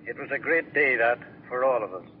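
An actor playing an elderly small-town newspaper editor, gently recalling past days in a 1940s radio drama. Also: male voice, medium pitch, animated, brisk, a little hiss or hum, close to an everyday voice. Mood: wistful. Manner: reminiscent, gentle. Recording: tinny old radio broadcast.

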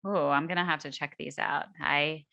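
A woman's voice: low-pitched, steady tone, normal speed, vocal fry, sharp tone, lo-fi sound. A woman hosting a casual interview, putting questions and responding conversationally. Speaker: interview host. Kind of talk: casual rapid-fire interview.

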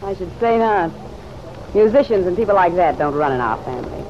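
A woman's voice: fairly low pitch, animated, a little fast, natural voice. A muffled vintage radio recording with faint noise carries an actress as a hard-boiled American woman. She is defiant and sardonic, snapping out curt slang in classic radio drama style. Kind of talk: old-time radio drama acting.

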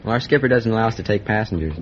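A man's voice: lowish voice, expressive, very fast. A man answering questions as a radio quiz contestant, earnest, plain and a little hesitant. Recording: muffled, very noisy old radio recording.

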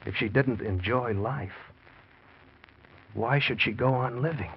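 A man's voice: medium pitch, slow. A man playing a desperate, scheming husband who tells of his crime in slangy, hard-boiled 1940s radio drama style. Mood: brooding. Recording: muffled, noisy 1940s radio recording.

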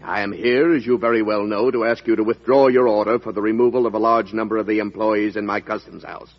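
A man's voice: fairly low pitch, fairly even intonation, fast. An actor playing a presumptuous male customs official in an old-time radio drama, speaking formally with veiled menace. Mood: insinuating. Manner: formal, insinuating. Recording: dull lo-fi old radio recording.

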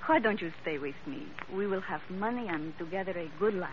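A woman's voice: fairly low pitch, expressive, lightly acted. Woman acting femme fatale, theatrical, old radio. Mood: seductive, pleading. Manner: formal, halting English, theatrical. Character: seductive, volatile Iberian femme fatale, murderess. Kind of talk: 1940s radio melodrama acting. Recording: muffled, hissy old radio recording.

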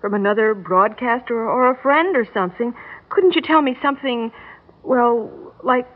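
An actress playing a skeptical young secretary in a radio drama, hesitant and stammering, on a muffled old recording. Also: female voice, on the high side, normal speed, natural voice. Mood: flustered. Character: skeptical, flustered young woman secretary phoning the future. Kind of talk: classic radio drama acting.

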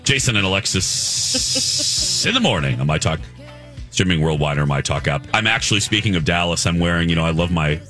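Animated low-voiced radio host man. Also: brisk, faint music behind. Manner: animated, swoops high when emphatic. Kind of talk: casual morning radio chat.

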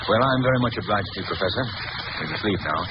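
Actor as suave, animated gentleman sleuth, vintage radio. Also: on the low side, fast, lightly acted. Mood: measured, confident. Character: shrewd, courteous gentleman amateur sleuth. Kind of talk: 1930s radio drama acting. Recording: noisy, muffled vintage radio recording.

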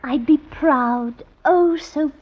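An actress playing a coaxing, scheming wife with wheedling, theatrical sweetness in an old-time radio drama. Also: female voice, sits high, drawn-out words. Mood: coaxing, dreamy. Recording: noisy, dull old radio recording.

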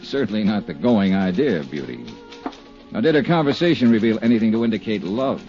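A man's voice: fairly low pitch, very animated, a little fast, a little mellow, faint music behind. An actor playing a wry, hard-boiled male insurance investigator who narrates and speaks in dry, easygoing tones, in 1950s radio drama style. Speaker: narrator and lead. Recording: muffled 1950s radio broadcast.

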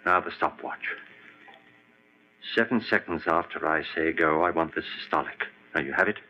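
A man's voice: rumbling low, moderate pace, bright, forceful tone, faint music behind, full character voice. A man acting as a driven, defiant scientist in an old-time radio horror play, urgent and commanding, giving clipped orders. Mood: tense, commanding. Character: driven, defiant scientist husband who defies death. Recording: muffled, noisy old radio recording with hum.

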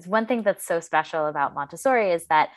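A woman with a very low voice leads an interview, asking calm, measured questions. Her recording is dry and close, with no room sound around it. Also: no room sound.

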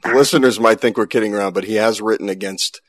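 A man hosting a podcast and interviewing in a clear, conversational way. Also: lowish voice, fast talker, dry recording. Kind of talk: scripted intro and conversational interview.

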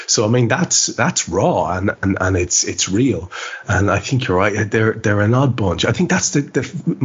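A man hosting a football podcast, talking conversationally in a measured, wordy way with hesitant phrasing. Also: lowish voice, breathy edge, muffled recording. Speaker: podcast host.

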